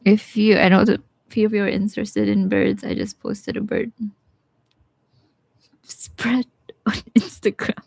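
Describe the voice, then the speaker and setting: A young woman with a Bisaya Filipino accent who teaches watercolor live, talking casually and thinking aloud as she paints, with some quiet asides. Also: medium pitch, steady tone, unhurried, gravelly, long pauses, no room sound. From Philippines. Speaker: watercolor artist streaming live. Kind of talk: live painting tutorial with chat replies.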